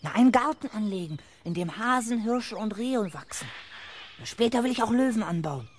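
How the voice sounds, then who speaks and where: An actor playing an earnest, precocious young schoolboy in a 1970s children's radio play, matter-of-fact and self-assured. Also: male voice, sits high, expressive, moderate pace, a little hiss or hum. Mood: polite, earnest. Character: earnest, inventive young schoolboy with big plans.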